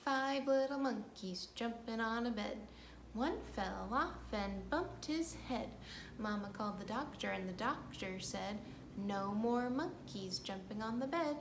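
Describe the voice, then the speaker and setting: A woman with a fairly high voice leads storytime for young children. She is playful and sing-song, reciting rhymes and talking straight to the kids. The room is clearly echoey, with faint noise behind her. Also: a little slow. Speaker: children's librarian leading storytime.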